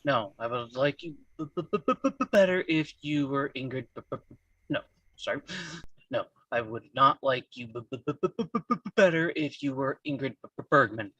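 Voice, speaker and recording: A man playing a reserved younger son of a mobster in a table read, husky and a little far from the microphone. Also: medium pitch, expressive, brisk, fairly bright tone, no echo, lo-fi sound. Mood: mild. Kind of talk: live table read of a film script.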